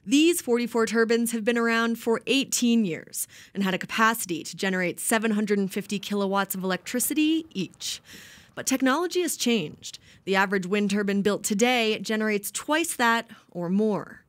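A woman reporter giving a scripted narration for a news feature in a measured, even way. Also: mid-range voice.

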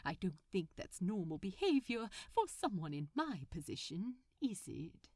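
Casting: genteel male supervillain acted by a woman, bashful and fond, very polite, expressive pitch, storybook dialogue. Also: unhurried, dry recording, clean studio sound. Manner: prim, polite, formal diction. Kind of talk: fan fiction audiobook character voice.

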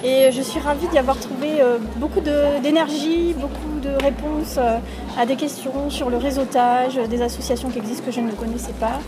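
A woman's voice: high voice, steady tone, moderate pace. A forty-two-year-old woman giving an interview testimonial as a freelance finance director. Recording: music bed under the voice.

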